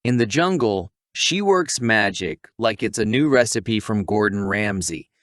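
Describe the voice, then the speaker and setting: Man narrating with animated, swooping pitch. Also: unhurried, dry recording, clean studio sound. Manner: wisecracking, conversational asides to the listener. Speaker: narrator of an anime recap. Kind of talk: scripted comic story recap narration.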